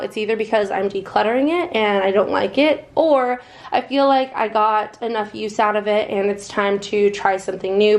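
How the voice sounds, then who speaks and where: A young American woman with a slightly hoarse voice, chatting casually through a makeup vlog. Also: mid-range voice. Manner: chatty, upbeat, fast-talking. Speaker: beauty vlogger.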